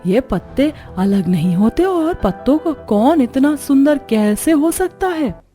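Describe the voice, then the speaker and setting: A woman's voice: high-pitched, animated, measured pace, warm tone, soft background music, voice pushed up. A woman voicing a gossipy, amazed female guest in a family cartoon. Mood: impressed, curious.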